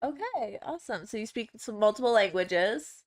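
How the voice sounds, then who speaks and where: A woman's voice: medium pitch, animated, normal speed. A young woman hosting a podcast interview, asking questions in a friendly, affirming, agreeable way. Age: young adult. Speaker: guest podcast host. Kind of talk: casual interview chat. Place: small indoor studio, on a couch. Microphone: lavalier mic.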